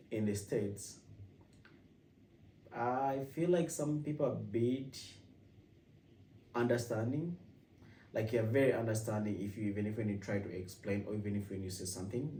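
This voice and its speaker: Ugandan guy vlogging, lowish mellow voice, keeps restarting, sounds a bit far off in an echoey room. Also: moderate pace, pauses often, slight background noise. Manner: rambling with frequent restarts. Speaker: vlogger host. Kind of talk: casual personal vlog. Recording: echoey room, mic at a distance.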